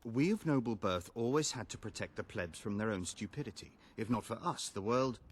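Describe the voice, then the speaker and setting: Pompous, husky, animated nobleman. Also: on the low side, brisk. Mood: disdainful. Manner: pompous, lecturing. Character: haughty, self-righteous nobleman. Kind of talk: video game dialogue acting.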